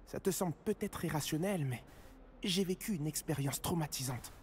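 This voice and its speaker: A man's voice: fairly high pitch, normal speed, darkish tone, a little hiss or hum, natural voice. An actor voicing a nervous young male student wizard in a video game, talking anxiously about his reputation. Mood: fretful. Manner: anxious, talkative. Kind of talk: video game character dialogue.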